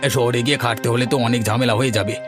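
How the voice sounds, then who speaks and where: Guy doing a henpecked cartoon farmer husband, talks fast, grumbles, music behind. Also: medium pitch, fairly even intonation, natural voice. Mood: protesting. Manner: protesting, placating. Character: hard-pressed village farmer husband, grumbling but submissive. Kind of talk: Bengali cartoon dubbing.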